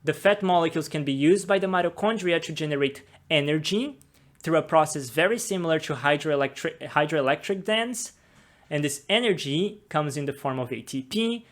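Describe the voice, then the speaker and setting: Higher-voiced man from Brazil explaining patiently. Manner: patient, plain step-by-step explanations. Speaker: podcast host. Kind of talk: explaining science, then interviewing. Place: office room with a table. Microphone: desk mic on a boom arm.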